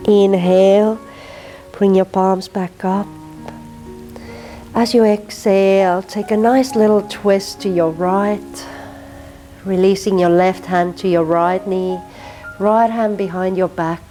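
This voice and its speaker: Calm, slow Finnish woman guiding yoga. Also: medium pitch, soft background music. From Finland. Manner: slow, soothing cueing of breath and movement. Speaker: yoga teacher. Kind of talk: guided yin yoga instruction.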